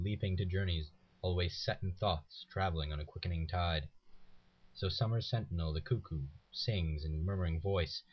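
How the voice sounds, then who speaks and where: A young man with a very low voice recites poetry in a dramatic style. He reads as a student would for a class, and the recording is dull and lo-fi. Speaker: student reader.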